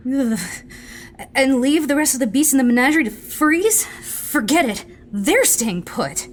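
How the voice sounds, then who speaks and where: A woman's voice: high voice, expressive, a little slow. A woman playing a surly, protective menagerie keeper in an audio drama, blunt, grumbling and dryly sarcastic. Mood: annoyed.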